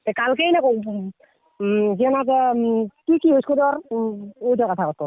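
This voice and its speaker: Very high-voiced young North Bengal man, tinny phone. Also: expressive, moderate pace, dry recording. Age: teens to young adult. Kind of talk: casual, argumentative phone chat. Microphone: phone call. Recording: tinny phone-line sound, dry.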